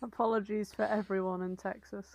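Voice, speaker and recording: A woman's voice: mid-range voice, little pitch movement, brisk, creaky, darkish tone, a little hiss or hum. A woman co-hosting a podcast in casual banter, cutting in with exasperated, sweary interjections. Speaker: podcast co-host.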